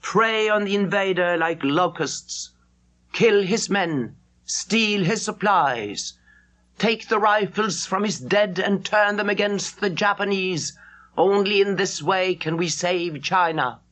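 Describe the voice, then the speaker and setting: A woman voicing a stern army command in an audiobook, emphatic and deliberate. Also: mid-range voice, fairly bright tone, pitched above the performer's own voice. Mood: stern, urgent. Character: stern male military command issuing harsh wartime orders.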